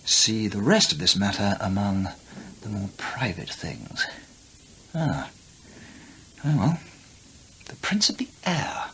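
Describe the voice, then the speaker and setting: An actor playing an inquisitive travel writer and amateur antiquarian, genteel, precise and chatty, in a radio drama. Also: male voice, lowish voice, big pitch swings, slow pace, long pauses, bright, forceful tone, breathy edge, full character voice, low-quality recording. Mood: hushed musing. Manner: genteel, precise, musing to himself. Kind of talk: radio drama acting and narrated journal.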